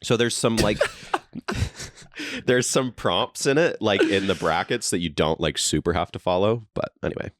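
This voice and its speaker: A young man talks in a deep, low register, relaxed and agreeable, often breaking into a chuckle. His voice is picked up dry and close, with no room around it, as he co-hosts a casual podcast from a basement. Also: a little slow, dry recording. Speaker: podcast co-host. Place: friend's basement.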